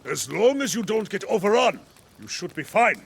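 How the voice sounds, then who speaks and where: An actor playing a cheerful, eager adventurer and seasoned monster hunter in a video game, with a gravelly voice and a jovial, grandiose, teasing way of talking. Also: male voice, sits high, sing-song, moderate pace, exaggerated voice. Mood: explanatory, regretful. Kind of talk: theatrical video game character acting.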